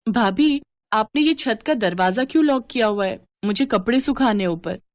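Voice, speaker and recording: A woman's voice: a little high, animated, normal speed, dry recording, clean studio sound, close to an everyday voice. A woman voicing an aggrieved young bride in a family story, plaintive and protesting as she reasons. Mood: puzzled. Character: young rich bride, aggrieved but reasoning sister-in-law. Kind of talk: Hindi storytelling drama.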